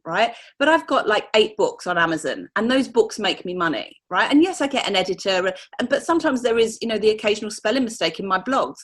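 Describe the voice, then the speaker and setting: Chatty woman mentor, straight-talking and upbeat, lots of you-knows. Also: medium pitch. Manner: candid, energetic, motivational, frequent "you know". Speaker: business mentor, guest. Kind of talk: casual interview answers.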